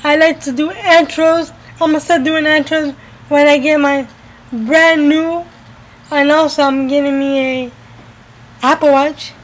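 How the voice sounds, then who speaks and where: High-pitched young woman chatting. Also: steady tone, unhurried, low-quality recording. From United States, New Jersey and Florida. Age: 20s. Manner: repeats phrases emphatically. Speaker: vlogger. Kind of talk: casual chat to camera. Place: indoors at home by a window. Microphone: webcam at arm's length.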